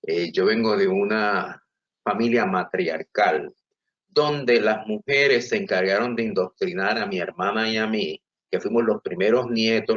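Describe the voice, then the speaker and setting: A man with a Puerto Rican accent, a guest author speaking earnestly and deliberately in an interview. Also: lowish voice, highs cut off. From Puerto Rico. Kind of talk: reflective interview talk.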